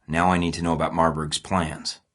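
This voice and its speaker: A man's voice: deep voice, little pitch movement, a little fast, a bit bright. An actor playing a terse, dry-witted male spy agent in game voice lines. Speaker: video game character.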